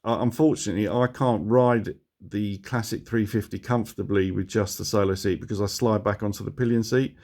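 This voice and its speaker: A man's voice: fairly low pitch, fairly even intonation, a little fast, hardly any pauses, a little mellow. Rambling man with sore throat. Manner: rambling, chuckling, self-mocking asides. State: sore throat, losing his voice. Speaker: motorcycle vlogger. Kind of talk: casual chat to camera. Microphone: phone camera.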